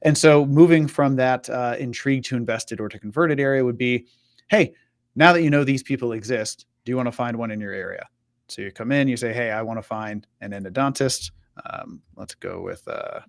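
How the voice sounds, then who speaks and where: Guy doing a casual screen share walkthrough, mid pitched voice, really dry sound, chatty and informal. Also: normal speed, gravelly, dry recording. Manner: informal, voices imagined customer questions as examples. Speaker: presenter on a web marketing live show.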